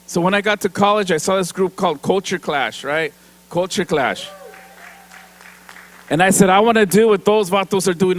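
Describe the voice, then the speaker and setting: Guy talking at an awards stage mic, kinda high voice, laughs a lot, throws in spanish. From Mexican-American from San Diego. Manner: chatty, laughs often, mixes in Spanish. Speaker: award honoree giving a speech. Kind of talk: casual speech with stage humor. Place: event stage before an audience.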